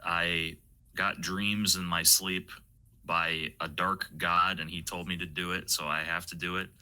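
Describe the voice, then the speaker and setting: A man's voice: low voice, little pitch movement, a little slow, pushed and bright, clear, resonant tone. A man in a casual chat as an audio drama creator and interviewer, rambling and joking in an informal way.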